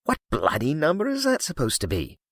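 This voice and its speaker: A man playing a proud, gruff English knight in an audiobook, blustering and aggrieved with old-fashioned oaths. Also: a little high, huge pitch swings, fairly quick, no echo, studio quality, voice pushed up, exaggerated voice. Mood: indignant. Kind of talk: audiobook character acting.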